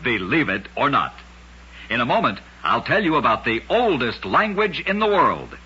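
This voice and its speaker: A man's voice: mid-range voice, huge pitch swings, moderate pace, pushed and bright, slightly rough. A man hosting and narrating scripted old-time radio trivia stories in a dramatic, emphatic manner. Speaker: radio show host and storyteller. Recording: dull, lo-fi old radio recording.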